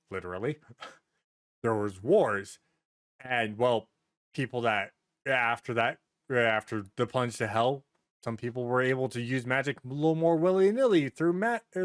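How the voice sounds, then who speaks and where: A man chatting casually as a podcast host, telling stories in a rambling, excitable way and swearing freely. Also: mid-range voice, a little slow, no echo, clean studio sound.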